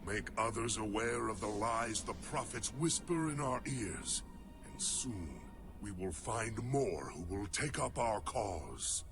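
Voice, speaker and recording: A man's voice: on the low side, expressive, slow pace, over music. A man voicing a grave, devout alien warrior commander in a video game cutscene, speaking solemnly and formally. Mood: resolute.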